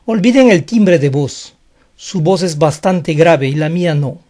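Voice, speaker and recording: A man whose first language is Spanish gives an online lesson in a frank, explanatory way. His voice sits high for a man. He defends his points plainly and directly. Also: lively intonation, measured pace, a little mellow. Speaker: English teacher.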